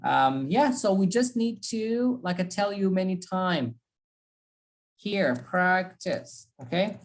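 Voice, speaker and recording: A woman's voice: fairly low pitch, expressive, slow. A woman teaching an online speaking class, giving feedback and advice. She repeats her key points and keeps checking in with "okay?".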